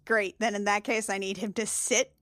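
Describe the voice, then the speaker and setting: A woman's voice: medium pitch, flat delivery, normal speed, fairly bright tone. A woman playing a tabletop game, chatting casually with wry, sweary banter.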